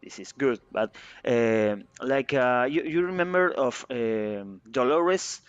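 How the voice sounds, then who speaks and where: A man interviewing a guest, speaking haltingly with many restarts and fillers. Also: medium pitch, expressive, smooth, dry recording, soft background music. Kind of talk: casual interview. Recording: dull lo-fi sound.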